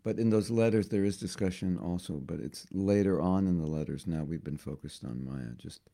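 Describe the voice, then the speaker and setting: A hoarse man giving a devotional class, talking haltingly and often repeating words as he thinks. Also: low-pitched, dark tone. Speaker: spiritual teacher.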